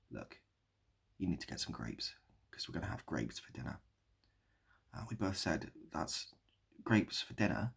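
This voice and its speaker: A man talking casually to camera in a rambling, self-deprecating way with deadpan whimsy. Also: deep voice, creaky, breathy edge. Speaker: video creator and host. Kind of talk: casual improvised monologue to camera.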